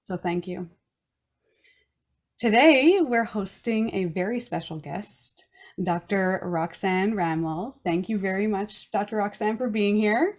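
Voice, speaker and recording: A woman from Newfoundland hosting a wellness podcast, reading a reflective personal statement in a slow, earnest way. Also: mid-range voice, a little mellow. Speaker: podcast host. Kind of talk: reading a personal land acknowledgment and intro.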